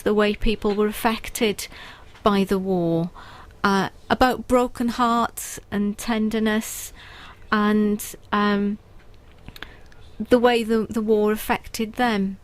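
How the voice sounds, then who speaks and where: Slow, thoughtful woman poet on radio. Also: mid-range voice. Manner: thoughtful, earnest, with frequent ums. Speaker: guest poet and writer. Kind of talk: radio interview.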